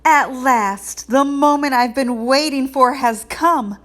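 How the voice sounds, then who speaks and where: A woman's voice: high-pitched, slow, fairly bright tone, voice pushed up. An eccentric storybook schoolteacher played by a woman reader with a grand, theatrical air. She stretches out her words dramatically, as if she might be a vampire. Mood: grandly menacing.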